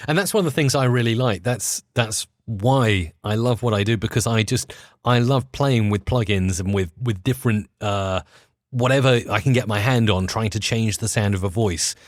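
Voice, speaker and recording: A man with a fairly low voice talks as a guest in a relaxed interview. He explains things with enthusiasm, feeling his way through the wording. The recording is dry and close. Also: normal speed, no room sound. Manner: enthusiastic, hesitant while explaining. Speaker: interview guest, audio producer. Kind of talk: casual explanatory interview talk.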